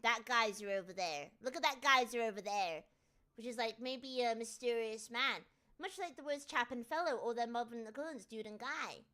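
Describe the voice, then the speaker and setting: A woman with a Northern English accent, chatting casually on a live stream and answering viewers, with sudden excited shouts. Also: on the high side, moderate pace. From Northern England. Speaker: VTuber streamer.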